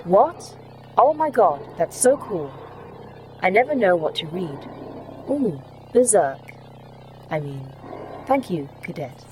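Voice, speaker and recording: A woman playing a polite, excitable, geeky artificial intelligence in an audio drama. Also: fairly low pitch, slow pace, pauses often, soft background music, rough recording. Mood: excited.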